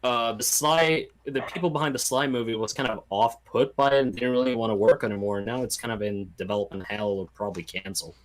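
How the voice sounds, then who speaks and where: Male podcast guest, average pitch, matter-of-fact, informal explanatory chat. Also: quick pace, few pauses, low-quality recording. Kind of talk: casual chat, explaining film news.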